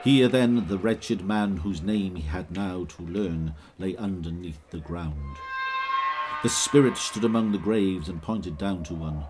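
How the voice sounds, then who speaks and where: A man narrating an audio drama of a literary classic, expressive and measured in his storytelling. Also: deep voice. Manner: expressive, measured, old-fashioned literary phrasing. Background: music.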